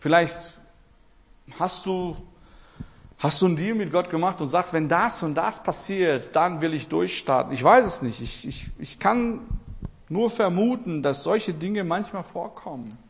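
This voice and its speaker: A man from Portugal preaching a sermon with passion, repeating his phrases and swinging between loud and quiet. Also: sits high, lively intonation, a little slow, creaky.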